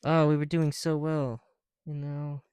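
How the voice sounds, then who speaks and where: Casting: male ASMR creator, relaxed dark tone, casual muttered chat, very dry close sound. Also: on the high side, unhurried, clear, resonant tone, dry recording. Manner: softly muttering, drops into whispered counting; casual, mildly profane. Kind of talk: ASMR, casual chat while building with bricks.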